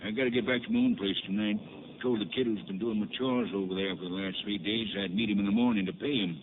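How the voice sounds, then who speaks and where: A man's voice: on the low side, fairly even intonation, fast talker, natural voice. An actor playing a hot-tempered rancher father. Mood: calm. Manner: rural drawl, outraged. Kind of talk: 1950s radio drama acting. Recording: phone-narrow old radio transcription, loud hiss.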